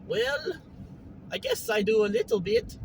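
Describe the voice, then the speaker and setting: A man's voice: high voice, very animated, unhurried, voice pushed up, heavily acted. A man voicing an eager, naive, forgetful wanderer in a singsong cartoon-plumber style with simple, broken phrasing, recorded with vehicle noise behind. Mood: earnest, innocent.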